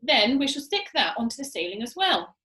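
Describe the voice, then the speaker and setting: A woman voicing a bossy, impatient monkey father in a storybook, shouting frantic orders. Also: a little high, sing-song, brisk, echoey room. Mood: urgent.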